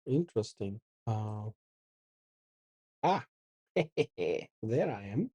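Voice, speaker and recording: Middle-aged man host, husky and unhurried, talking himself through commands. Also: fairly low pitch, big pitch swings, mellow. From Porto, Portugal. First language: Portuguese. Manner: thinks aloud while reading out commands. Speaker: channel host. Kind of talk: casual live coding commentary.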